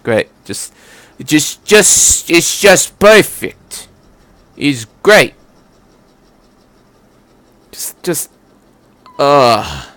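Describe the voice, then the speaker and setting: A man's voice: on the high side, sing-song, drawn-out words, pauses often, fairly bright tone, no echo. A man doing amateur story narration with sarcastic asides, stumbling over words and correcting himself. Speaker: fan fiction reader and commentator.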